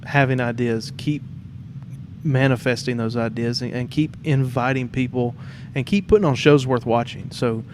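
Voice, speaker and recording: A man with a medium-pitched voice hosts a casual podcast conversation. He talks informally, as if chatting in a shared theater studio. There is clear background noise behind him. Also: darkish tone. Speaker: podcast host.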